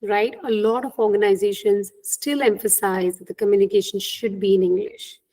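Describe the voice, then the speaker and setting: A woman's voice: medium pitch, steady tone, moderate pace, mellow, poor audio. Indian woman coaching on interviews. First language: Hindi. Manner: earnest, frequent "right?" tag questions. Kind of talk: webinar talk on job interviews.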